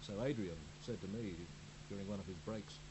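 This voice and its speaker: An Australian man from Melbourne, a radio newsreader, answering interview questions in a halting way full of ums and uhs. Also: on the low side. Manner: hesitant, many ums and uhs. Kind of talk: studio interview chat. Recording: radio broadcast with steady hum.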